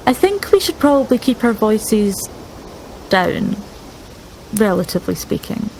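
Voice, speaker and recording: A woman's voice: mid-range voice, sing-song, measured pace, a little mellow, slight background noise, poor audio. A woman playing a tabletop game, casually describing what her character does.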